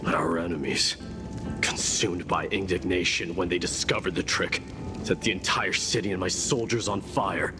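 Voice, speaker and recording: A very deep, solemn warrior king, voiced by a man for a fantasy game. He speaks with stoic, mournful gravity and formal, measured phrasing. Music plays clearly behind him. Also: steady tone, close to an everyday voice. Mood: solemn, grieving. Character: grave immortal warrior king recounting fallen comrades, stoic and mournful. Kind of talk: dramatic video game narration.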